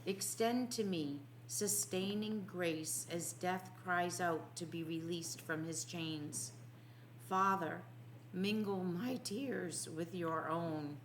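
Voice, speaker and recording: Woman plays anguished but resolute holy man, audiobook prayer, grave, very unhurried, faint noise behind. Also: fairly low pitch. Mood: grave, pleading. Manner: grave, poetic, deliberate.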